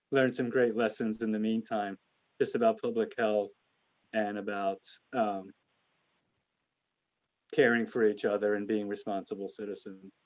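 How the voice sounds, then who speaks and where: A man hosting an investment conference call, speaking off the cuff in a halting, hedging, conversational way. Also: on the low side, flat delivery, darkish tone, poor audio. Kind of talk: unscripted conference call remarks.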